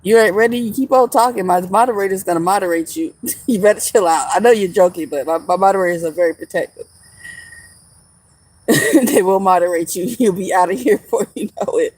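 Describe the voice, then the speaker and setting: Woman, mid-thirties, casual livestream host, teasing and laughing, mid-pitched voice, talking on a phone outdoors. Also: fairly quick, a little mellow, no echo, poor audio. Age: 30s. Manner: chatty, laughs often, teasing viewers. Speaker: vlogger. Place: outdoors in the woods.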